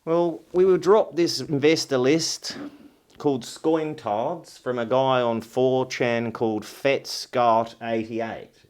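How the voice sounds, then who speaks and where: A man's voice: mid-range voice, fairly even intonation, slow, a little mellow, lightly acted. An actor playing a sardonic male amateur investigator who talks casually and swears. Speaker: investigator lead. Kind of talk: mockumentary film acting.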